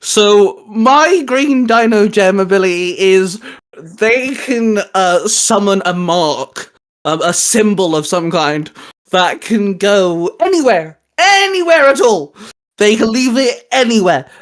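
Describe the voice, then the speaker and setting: A man's voice: sits high, expressive, slow, fairly bright tone. A man with an English accent hosting a casual, geeky chat, explaining things in a hesitant, rambling way. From England. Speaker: host of a fan discussion channel. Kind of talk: casual geeky discussion among friends.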